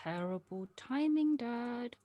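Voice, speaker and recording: A woman's voice: a little high, lively intonation, takes it slowly, no room sound, lo-fi sound. A woman acting a wry, guarded adult who humours a child in a casual, teasing way at a script read. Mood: wistful, guarded. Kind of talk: acted script table read.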